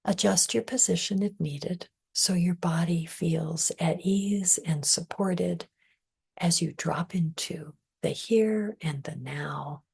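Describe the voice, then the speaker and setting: A woman leading a guided meditation in a soft, breathy, often near-whispered voice, calm, measured and soothing. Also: fairly low pitch. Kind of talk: guided meditation, scripted narration.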